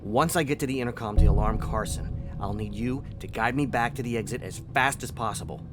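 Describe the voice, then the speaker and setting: A man's voice: mid-range voice, fairly quick, lightly acted. A man playing an anxious, edgy spaceship crewman in a sci-fi radio drama, urgent and hesitant. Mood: tense, wary. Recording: loud music bed under the voice.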